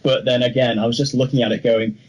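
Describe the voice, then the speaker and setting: A man's voice: medium pitch, little pitch movement, fast talker, low-quality recording. A man co-hosting a podcast, giving his opinions on films in casual, informal conversation. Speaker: podcast co-host. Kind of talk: casual film discussion.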